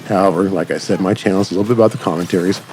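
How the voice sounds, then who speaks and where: A man's voice: sits low, racing, a little mellow. A man giving casual, unscripted commentary as host of a gaming channel, rambling along in long run-on sentences. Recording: music over the voice. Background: music.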